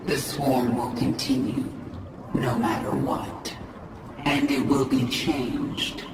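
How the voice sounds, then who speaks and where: An actress playing a grim, vengeful alien swarm queen in a game cutscene, measured and commanding, with an echoing processed voice. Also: extremely low pitch, fairly even intonation, heavy background noise, exaggerated voice. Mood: grave resolve. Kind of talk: dramatic game cutscene acting. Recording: echoing, distant, under loud music.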